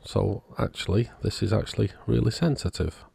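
Deep, gravelly-voiced man narrating repairs. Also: steady tone, normal speed, darkish tone, dry recording. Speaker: host of an electronics repair channel. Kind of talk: casual repair walkthrough narration.

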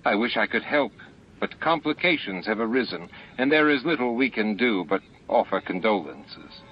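A man's voice: on the low side, expressive, moderate pace, natural voice. A man voicing a smug, supercilious male alien military commander with falsely courteous manners in an audiobook. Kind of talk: audiobook character dialogue. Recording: muffled lo-fi audiobook with music.

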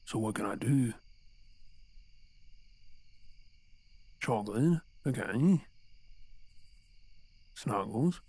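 A man's voice: mid-range voice, animated, slow pace, darkish tone, husky, no echo. A man with an Australian accent playing an exasperated but tender boyfriend in an intimate, conversational audio roleplay. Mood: affectionate, reassuring.

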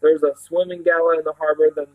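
A man acts out an older village housekeeper from a storybook. She is fond, cheerful and bustling, and she chatters away in homely rustic turns of phrase, pitched fairly high for a male voice. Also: flat delivery, fast, narrow, tinny audio, close to an everyday voice. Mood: eager, chatty.

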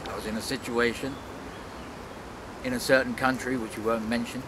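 A man's voice: mid-range voice, little pitch movement, normal speed. Older man over noisy outdoor ambience. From London, England. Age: 60s. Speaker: world traveler being interviewed. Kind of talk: reflective personal storytelling to camera. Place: outdoors in a leafy city park.

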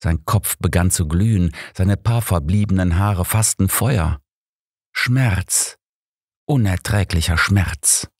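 Male audiobook narrator, low voice, dry recording, crime fiction. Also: slow pace, a little mellow, slightly rough, no echo. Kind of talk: scripted narration of a crime story.

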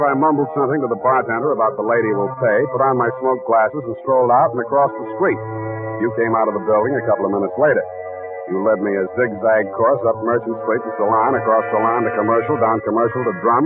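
Casting: man playing cynical male private eye, mid-pitched, dry wisecracks, 1940s radio noir, tinny old sound. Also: natural voice. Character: wry, cynical, hard-boiled male private detective.

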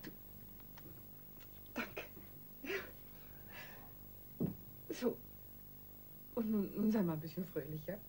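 A woman's voice: medium pitch, unhurried, dark tone, slight background noise, lightly acted. An actress in a German radio crime drama playing a calculating, insistent wife who works on her husband with emphatic persuasion. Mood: coaxing.

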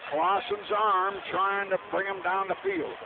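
A man's voice: sits high, little pitch movement, a little slow. A man calling American football play-by-play live on the radio, rooting for his team in short, clipped phrases. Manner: partisan, clipped fragmentary phrases. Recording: lo-fi radio broadcast, narrow band, loud noise.

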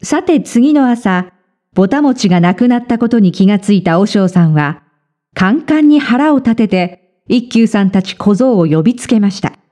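A woman narrating a children's folktale in a measured, clearly phrased scripted style. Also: medium pitch, expressive, warm tone. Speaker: storyteller.

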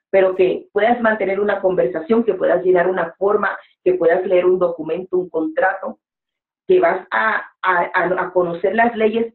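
A woman in her forties, a preacher speaking as an interview guest, earnest and exhorting, talking from a room at home over a webcam call. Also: mid-range voice. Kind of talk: motivational interview talk. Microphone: webcam on a video call. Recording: echoey room, phone-like sound.

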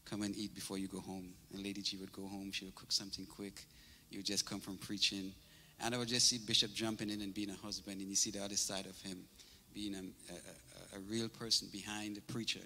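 A middle-aged man from Trinidad speaks into a handheld microphone, his voice notably bright and forceful. His tribute rings out through a large church sanctuary with long reverb. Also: on the low side. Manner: warm storytelling, grows animated and laughing. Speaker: church minister giving a tribute. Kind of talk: memorial service tribute. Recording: reverberant church hall, faint noise.

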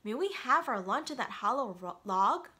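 A woman's voice: fairly high pitch, animated, moderate pace, bright, forceful tone. A woman voicing a hungry, impatient young storybook kangaroo boy in a pleading, childlike manner.

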